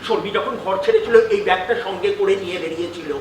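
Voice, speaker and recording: A man's voice: sits high, quick pace, lightly acted. A man playing an intense, obsessive character in projected stage drama, heard from afar in a hall. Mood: accusing. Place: theatre stage. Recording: echoey theatre hall, distant mic, faint noise.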